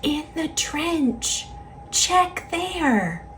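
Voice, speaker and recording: A woman voicing a gentle, sweet female sea creature with a soft, whispery, coaxing voice in a storybook. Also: high voice, big pitch swings, very slow, a little hiss or hum, pitched above the performer's own voice, heavily acted. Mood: hushed, guiding. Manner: coaxing, encouraging.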